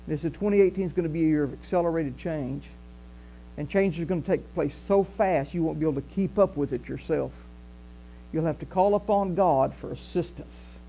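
Older Southern pastor preaching in church. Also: male voice, on the high side, a little fast, gravelly, rough recording. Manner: conversational preaching, animated gestures, walks the stage. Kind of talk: sermon. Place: small church hall, indoors. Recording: faint mains hum.

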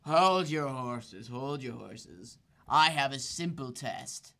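A man voicing Leonardo, an aging, ruthless mafia boss who talks in a slow, grumbling, theatrical way. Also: mid-range voice, lively intonation, vocal fry, fairly bright tone, heavily acted. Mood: gruff.

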